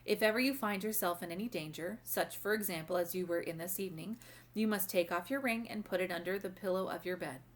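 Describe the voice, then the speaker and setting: A woman voicing a kindly, wise old grandmother in a storybook, gently and formally giving instruction. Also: fairly low pitch, fast, lightly acted. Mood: instructing. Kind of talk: storybook read-aloud character voice.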